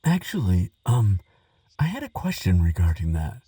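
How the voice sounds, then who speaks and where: Man acting a dark, husky, nervous male scholar. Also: low voice, sing-song, normal speed, dry recording, studio quality, distant mic, full character voice. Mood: curious, hesitant. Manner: hesitant, rambling, gentle, with stammers and sighs. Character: nervous, kindly, chatty male scholar researcher. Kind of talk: audio roleplay monologue addressed to the listener.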